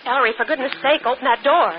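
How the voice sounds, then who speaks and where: An actress playing a pert, excitable young assistant, outspoken and expressive, in a 1940s radio mystery. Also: female voice, high voice, fast, noisy background, close to an everyday voice. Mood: impatient. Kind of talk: 1940s radio drama acting. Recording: muffled old radio broadcast.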